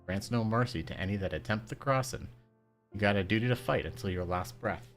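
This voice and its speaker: A vulgar, quick-tempered old grandmother who barks her words bluntly and loudly, as a man puts her on. Her gruff voice carries real force. Faint music sits beneath the story. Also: lowish voice, natural voice. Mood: stern.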